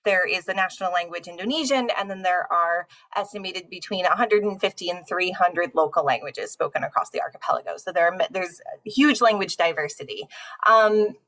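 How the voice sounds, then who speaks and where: A woman's voice: fairly low pitch, a little fast, a bit bright. A young adult woman giving an informal academic talk as a linguist, explaining things in a conversational way with frequent asides. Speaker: linguist giving a panel presentation.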